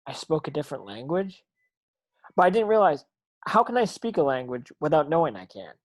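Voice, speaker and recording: A man's voice: fairly high pitch, very animated, fairly quick, no room sound. A man reading an earnest, defensive young schoolboy wizard in a script read. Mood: defensive confusion. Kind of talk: online script table read.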